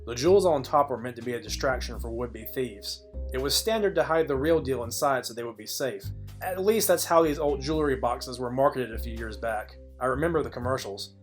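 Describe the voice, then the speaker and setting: A man's voice: medium pitch, a little fast, natural voice. A man playing a wry, hard-boiled private detective who narrates a noir audio play in a dry, sardonic way. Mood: wry narrating. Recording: background music under the voice.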